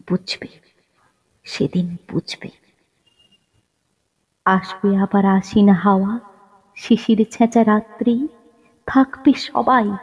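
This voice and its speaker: A woman recites poetry with great deliberation and expressive pauses. Her medium-pitched voice is husky and breathy, rising and falling with dramatic feeling. It sits in a long reverb, with a slightly dull, lo-fi finish. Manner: expressive, pausing, swelling and dropping to hushed refrains. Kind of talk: dramatic Bengali poem recitation, slow and measured.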